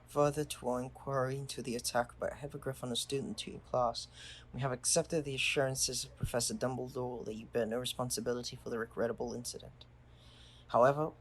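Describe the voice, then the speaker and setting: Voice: medium pitch, fairly even intonation, a little fast, a little hiss or hum, natural voice. A performer playing an earnest, loyal schoolboy wizard in an audio drama. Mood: reading gravely.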